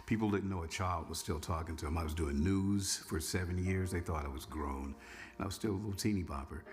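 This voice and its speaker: A man's voice: deep voice, fairly quick. A man in his sixties, a former radio DJ, telling his story casually in an interview. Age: 60s. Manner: relaxed, reminiscing, conversational. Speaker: former radio news reader and DJ.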